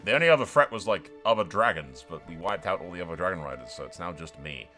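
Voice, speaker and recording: A man's voice: deep voice, animated, fast talker, pushed and bright, soft background music. A man giving casual, sweary gameplay commentary as a gaming YouTuber, chatting to his viewers in a blokey way.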